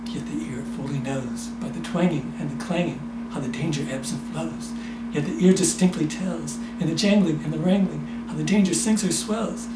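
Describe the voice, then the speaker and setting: A man in his sixties giving an intense, theatrical poetry recitation, drawing out repeated words. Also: sits high. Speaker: poetry performer. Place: small indoor room. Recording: echoey room, loud background noise.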